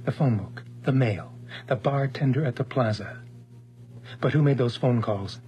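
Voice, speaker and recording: A man's voice: mid-range voice, moderate pace, warm tone, natural voice. An actor in a radio horror drama playing a betrayed, unhinged man who has been robbed of his identity. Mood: cold, accusing.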